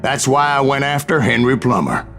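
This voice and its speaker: A man's voice: medium pitch, natural voice. Game role: a man plays an aging bounty hunter with a Western drawl, folksy raconteur, medium register, music underneath. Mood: grim, proud. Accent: American Western. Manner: folksy, unhurried storyteller. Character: old bounty hunter spinning tales of his gunslinging past. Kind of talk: scripted in-character storytelling narration.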